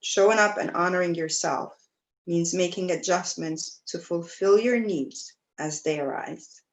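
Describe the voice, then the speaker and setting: Woman, slightly low pitch, yoga instructor, guided meditation, gentle, soothing, pauses between phrases. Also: low-quality recording. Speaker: yoga teacher leading a class.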